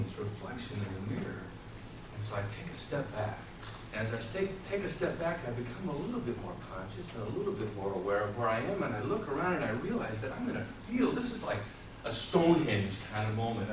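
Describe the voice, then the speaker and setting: A middle-aged man giving a theatrical tall-tale speech to a live audience, dramatic and deliberate, with pauses and repetition. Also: medium pitch. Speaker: storytelling contestant at a public speaking club. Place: small meeting room with whiteboard and audience, indoors. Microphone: far camera microphone. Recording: roomy echo, distant mic, clearly noisy.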